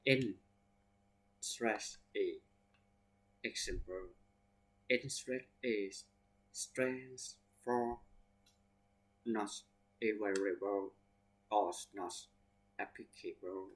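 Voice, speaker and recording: Male English teacher, Thai accent, careful word-by-word delivery that spells out letters, very slow pace. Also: on the low side, rough recording. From Thailand. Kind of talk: reading vocabulary examples aloud.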